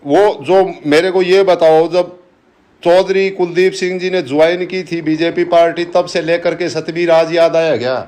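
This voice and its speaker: A man's voice: on the high side, steady tone, unhurried, darkish tone. A man arguing insistently yet respectfully in a recorded phone call, repeating his questions. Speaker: local supporter on a phone call. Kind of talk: argumentative phone conversation.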